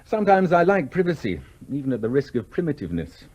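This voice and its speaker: An actor playing a charming, idle English gentleman in a 1970s radio play, suave and teasing with small hesitations. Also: fairly high pitch, very animated, fast. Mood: genial, teasing. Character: charming, flirtatious, idle well-off English gentleman, restlessly bored.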